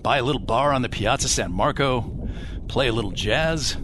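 A man's voice: mid-range voice, moderate pace, background noise, close to an everyday voice. An actor playing a wisecracking male adventurer sidekick in an audio drama, joking and laid-back. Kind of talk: comedic audio drama acting.

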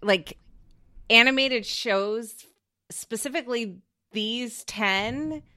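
A woman's voice: on the high side, slow, pushed and bright. A woman bantering casually and playfully as a game show panelist.

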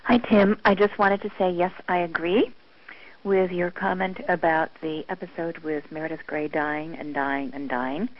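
A woman leaving a friendly voicemail, mildly disappointed. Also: deep voice. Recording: dull voicemail line.

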